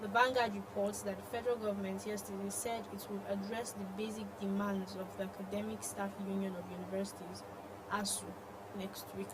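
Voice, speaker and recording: A woman's voice: mid-range voice, flat delivery, fairly quick. A woman with a Nigerian accent co-hosting a news programme, reviewing and discussing the newspapers. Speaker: news show co-host. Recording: clear background noise and ambience.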